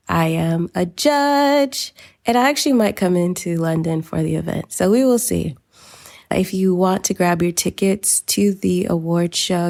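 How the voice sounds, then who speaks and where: A woman's voice: lowish voice, gravelly, darkish tone. Chatty woman hosting a podcast. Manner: chatty, informal, addresses listeners directly. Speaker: podcast host. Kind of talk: casual podcast announcements and episode introduction.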